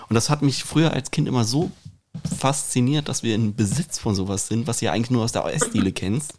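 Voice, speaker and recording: Ein Mann, der als Podcast-Host locker, abschweifend und selbstironisch plaudert. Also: male voice, mid-range voice, quick pace, darkish tone, slight background noise. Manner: rambling, digressive, self-deprecating. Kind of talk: casual podcast chat.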